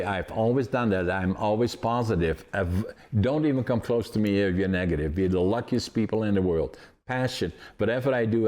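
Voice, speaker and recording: A man's voice: lowish voice, a little mellow. A man in his eighties from the Netherlands with a Dutch accent, hosting a conversational interview and telling stories in a rambling, emphatic, positive way. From Netherlands, northeastern Groningen province. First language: Dutch dialect of Groningen. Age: 80s. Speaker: podcast host.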